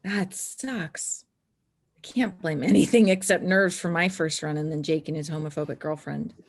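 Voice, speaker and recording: Woman playing a wry, lower-voiced teenage girl. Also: fairly even intonation, normal speed. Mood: dejected. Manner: dry, sarcastic. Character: wry, stubborn transgender teenage girl snowboarder. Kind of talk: screenplay table read.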